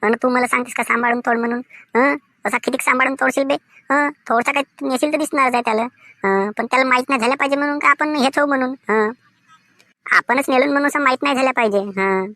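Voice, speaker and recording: A furtive village schemer from a cartoon comedy, played by a woman, with a sharp, fairly high voice and a Vidarbha accent. The delivery is bossy and repetitive in rustic everyday speech, recorded very dry. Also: quick pace, no echo. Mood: urgent, bossy. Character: scheming, furtive village man out stealing mangoes.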